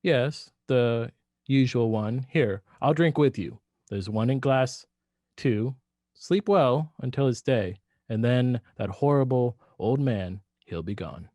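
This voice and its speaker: A meek, bewildered husband in a radio play, played by a man, speaking very slowly and haltingly. His voice is mid-pitched and dry, with words often repeated as he hesitates. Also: expressive, mellow, no room sound. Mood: falsely soothing. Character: weak, bewildered henpecked husband turning to murder. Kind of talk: radio drama acting.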